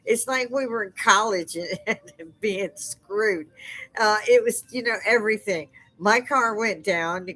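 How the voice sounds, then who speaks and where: Older woman, loud and laughing, a live-stream host chatting at her desk mic. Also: mid-range voice, measured pace, poor audio. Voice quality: loud speaking voice. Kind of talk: casual live-stream chat. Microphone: desk microphone.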